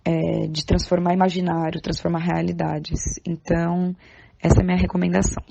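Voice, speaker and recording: A woman's voice: on the low side, flat delivery, brisk, vocal fry, warm tone, dry recording. A Brazilian woman speaking informally and earnestly as a podcast guest, with emphatic, conversational delivery. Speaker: podcast guest, theatre artist and art educator. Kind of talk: informal spoken recommendation.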